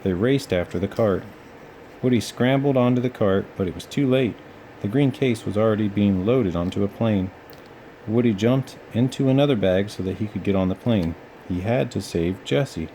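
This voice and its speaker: A man's voice: lowish voice, normal speed, dark tone, a little hiss or hum, rough recording. A man narrating a children's storybook as a read-aloud storyteller.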